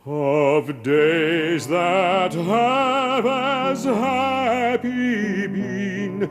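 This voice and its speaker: Very slow classical ballad singing, woman. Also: mid-range voice, music in the background. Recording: singing over loud musical accompaniment.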